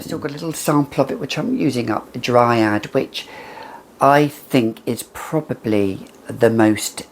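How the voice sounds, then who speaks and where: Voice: medium pitch, slight background noise. A perfume reviewer chatting casually and emphatically to camera, with a whimsical, conversational manner.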